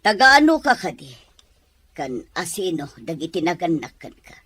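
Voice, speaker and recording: A woman's voice: lowish voice, big pitch swings, normal speed, fairly bright tone, breathy edge, heavily acted. A woman playing a reserved, probing middle-aged mother, asking questions in a Filipino Ilocano accent. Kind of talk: radio drama dialogue.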